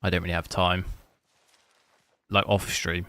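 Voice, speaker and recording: Bright-voiced man rambling. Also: low-pitched, flat delivery, creaky, no echo. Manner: rambling, halting restarts. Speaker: streamer. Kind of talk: casual live stream chat.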